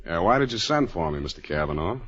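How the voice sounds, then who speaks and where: An actor playing a wry, wisecracking private detective in 1940s radio drama style. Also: male voice, low voice, very animated, fast, fairly bright tone, breathy edge, full character voice. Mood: dry, wry. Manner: wisecracking, tongue-in-cheek. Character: wry, hard-boiled male private detective. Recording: dull 1940s radio recording, organ-style music behind.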